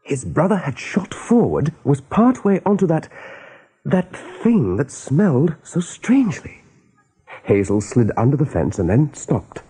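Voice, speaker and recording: A man narrating a radio play as a dramatic storyteller, with a husky voice. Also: a little high, huge pitch swings, unhurried, dark tone, poor audio.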